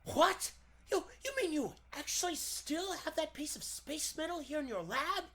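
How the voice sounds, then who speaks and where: A man playing an earnest, easily astonished newspaper reporter with halting, exclamatory delivery, in 1940s radio serial style. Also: very high-pitched, lively intonation, a bit bright, heavily acted. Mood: intrigued.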